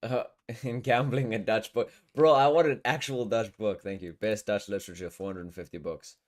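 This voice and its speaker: A man's voice: on the low side, moderate pace. A man hosting a podcast in a casual, conversational style. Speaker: podcast host. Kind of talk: casual chat.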